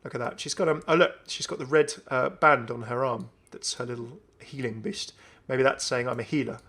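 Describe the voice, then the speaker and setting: Young guy, medium pitch, excited casual gaming commentary. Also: fairly quick, slightly rough. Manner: enthusiastic. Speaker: gaming video host. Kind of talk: casual first-look game commentary.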